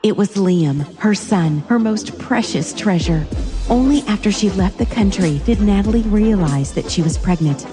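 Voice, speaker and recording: A woman's voice: mid-range voice, animated, normal speed, big-room sound, over music. A woman reading scripted narration for a dramatic story.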